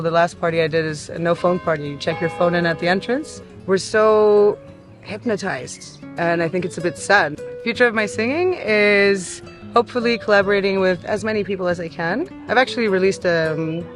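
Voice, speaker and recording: A woman's voice: fairly low pitch, fairly quick, faint music behind. A woman from France, a DJ and singer, talking easily in a casual interview and laughing often. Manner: easygoing, chatty, laughs often. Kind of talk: casual chat.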